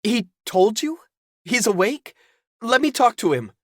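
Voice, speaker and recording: A man's voice: extremely high pitch, big pitch swings, a little slow, no room sound, studio quality, voice pushed up, exaggerated voice. A man voicing a distraught young man, raw and pleading by turns. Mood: frantic.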